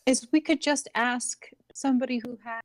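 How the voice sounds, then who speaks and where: A woman playing a tabletop game over a video call, chatting quickly and restarting mid-thought. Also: a little high, steady tone, no echo. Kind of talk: casual planning chat between players.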